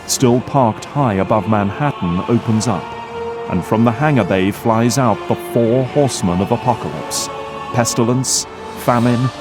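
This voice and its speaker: A man's voice: medium pitch, measured pace, music in the background. A man narrating an audio drama, reading scripted scene descriptions in a dramatic storytelling style.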